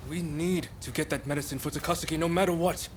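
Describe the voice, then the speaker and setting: An actor playing a loyal, determined young samurai comrade in a video game, speaking with earnest urgency. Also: male voice, a little high, steady tone, fast talker, a little hiss or hum, close to an everyday voice. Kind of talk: dramatic video game acting.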